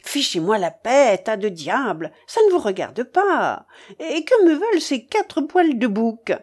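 A woman voicing an imperious, quick-tempered old grandmother in an audiobook, blunt and scolding. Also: on the high side, sing-song, a little mellow. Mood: furious, scornful. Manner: commanding, exclaiming, scolding. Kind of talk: audiobook character voice.

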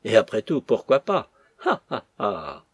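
A man's voice: mid-range voice, animated, normal speed, no echo, studio quality, natural voice. A man voicing an insolent, tipsy, rebellious woman cook with a mocking, brazen manner. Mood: mocking, defiant.

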